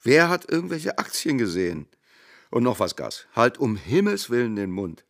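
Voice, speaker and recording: Creaky-voiced, shrewd lawyer. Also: male voice, medium pitch, very animated. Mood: firm. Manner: measured, lawyerly, insistent. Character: shrewd, cautious male big-city lawyer. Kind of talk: radio drama acting.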